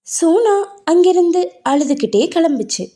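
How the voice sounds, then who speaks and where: Casting: female children's story narrator, high and animated, with a very soft, warm tone. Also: moderate pace.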